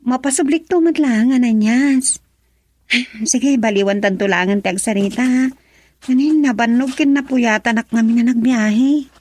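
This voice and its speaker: An actress with an Ilocano accent playing a gentle, apologetic young woman troubled by conscience in a radio drama. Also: a little high, normal speed, no echo, lightly acted. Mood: evasive.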